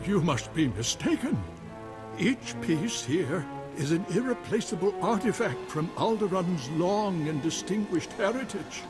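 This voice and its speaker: A man's voice: high-pitched, expressive, a little slow. A man playing a fussy, anxious elderly museum curator in a video game, prim, fretful and dramatic. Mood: indignant, protesting. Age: older. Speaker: character actor in a video game. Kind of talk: scripted game dialogue. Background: music.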